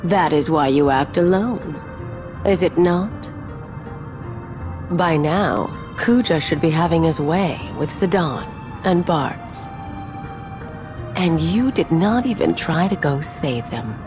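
A woman's voice: low-pitched, lively intonation, takes it slowly, pauses often, music in the background. A woman playing a menacing, cold, taunting sorceress in a video game, speaking in an imperious, theatrical manner. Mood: mocking, imperious.

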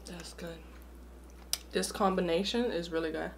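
A woman's voice: lowish voice, fairly even intonation, normal speed, a little hiss or hum. A young woman hosting an eating show, chatting casually between bites in a room at home. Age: young adult. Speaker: mukbang host. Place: small room indoors at home.

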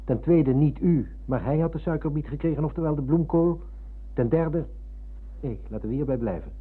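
A man playing a polite, insistent, probing middle-aged visitor in a radio drama, with a husky voice. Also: fairly high pitch, moderate pace. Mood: coolly accusing. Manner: formally courteous, insistent. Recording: muffled, narrow-band old radio recording.

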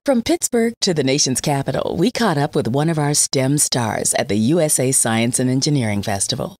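A woman narrating a scripted report with measured, polished delivery. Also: rumbling low, animated, a little mellow.

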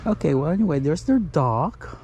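A man's voice: a little high, animated, normal speed, darkish tone. A middle-aged man giving casual, chatty travel vlog commentary, thinking aloud as he goes. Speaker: travel vlogger. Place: outdoors at campground and beach. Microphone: handheld action camera at arm's length. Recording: wind and engine noise, action camera.

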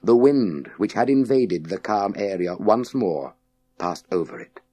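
Male audiobook narrator for young people's sci-fi: low, very unhurried, suspenseful, with dramatic pauses. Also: lively intonation, mellow, slightly rough. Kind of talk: scripted dramatic narration of a children's sci-fi story.

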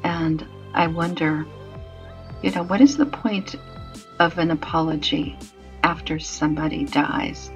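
Slow gravelly lady rambling thoughtfully while painting, kinda dull lo-fi sound, faint music. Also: low voice. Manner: slow, thoughtful, digressive, with many restarts. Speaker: painting vlogger. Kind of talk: casual reflective monologue while painting.

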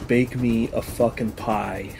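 A man's voice: medium pitch, steady tone, takes it slowly, gravelly, a little mellow, soft background music. A man joking casually as a player in a tabletop game.

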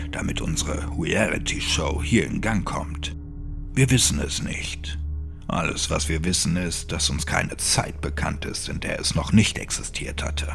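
A man voicing a nameless, resigned monk in a horror audiobook, with a dark, hushed, breathy voice and a slow, grave, fatalistic manner. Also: very deep voice, full character voice. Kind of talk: dramatic audiobook character acting.

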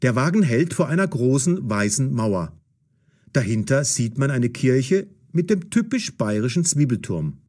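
A man narrating a scripted story with clear enunciation. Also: mid-range voice, very animated, unhurried, a little mellow.

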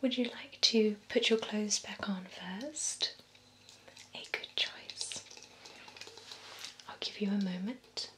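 Woman, 20s, ASMR whisperer as a courteous vampire attendant; unhurried, bright and breath-led, close-miked. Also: mid-range voice. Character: gentle, courteous female vampire salon attendant. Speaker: ASMR performer playing a vampire spray-tan attendant. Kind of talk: ASMR role-play, soft whispering. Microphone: close binaural microphone. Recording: close binaural whisper with faint hiss.

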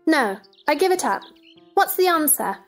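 A woman's voice: high-pitched, very animated, moderate pace, soft background music. A woman playing a polite, curious and easily indignant young girl in a stage play dramatization. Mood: puzzled, polite.

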